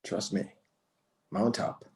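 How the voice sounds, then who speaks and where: A man playing a stern, gentlemanly old self-appointed lawman in a screenplay table read, terse and commanding. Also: slow pace, lightly acted. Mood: confident. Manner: commanding, terse, switches into Spanish.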